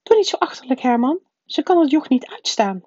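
Woman voicing a shrill, snappish storybook aunt. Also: big pitch swings, fairly quick, a little mellow, no room sound, highs cut off. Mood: snappish, angry. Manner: snarling, agitated, gushing over her son. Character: shrill, snappish, doting middle-aged aunt.

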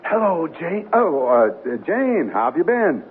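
Wry newlywed husband, tinny old radio. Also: male voice, a little high, very animated, slow pace. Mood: wry, placating. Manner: wry, bantering. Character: newlywed husband, wry and mildly sheepish businessman. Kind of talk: old-time radio comedy acting. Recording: thin, hissy vintage radio recording.

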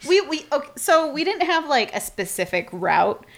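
A woman's voice: high voice, big pitch swings, normal speed, vocal fry, a bit bright. A woman in her thirties, a guest talking casually and animatedly with frequent laughter. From United States. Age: 30s. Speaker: podcast guest, bakery co-owner. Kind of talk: casual chat.

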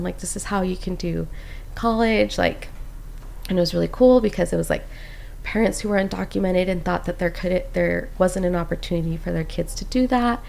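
A woman's voice: fairly low pitch, creaky, slight background noise. A young woman from Costa Rica, a postpartum doula, telling her story in a casual chat, full of "like" and "um". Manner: animated storyteller, many "like"s and "um"s.

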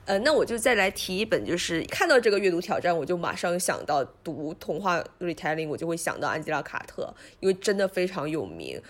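A woman co-hosting a casual book discussion, expressive and dropping English words into her talk. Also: fairly low pitch, brisk, hardly any pauses. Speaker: podcast co-host.

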